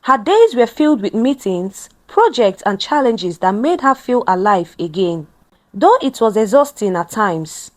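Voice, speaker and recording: Female narrator for scripted family drama, higher register, lively intonation, measured delivery. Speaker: storyteller.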